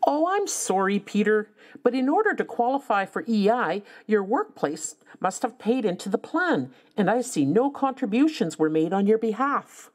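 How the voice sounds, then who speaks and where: A woman's voice: medium pitch, big pitch swings, moderate pace. A woman playing a chirpy young bartender who now answers calls for the unemployment office. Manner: bubbly, breezy.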